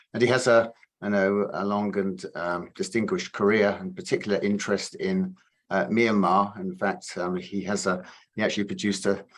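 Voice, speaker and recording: A man with a very low voice introduces a guest speaker over a video call. He speaks in a flat, even tone and stumbles through fillers and restarts while he praises the guest. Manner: hesitant, filler-heavy, appreciative. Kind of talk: introduction on an online lecture.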